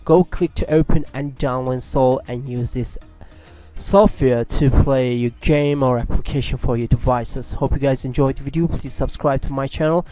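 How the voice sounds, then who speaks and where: Mellow male tech tutorial host. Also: mid-range voice, moderate pace, soft background music. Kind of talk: software tutorial narration. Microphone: USB microphone at a computer.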